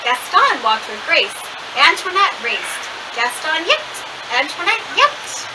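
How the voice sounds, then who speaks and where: A woman reading a picture book aloud to young children as a library storyteller, with expressive, animated delivery. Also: sits high, slow pace. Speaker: library story-time reader. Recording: distant mic with loud steady hiss.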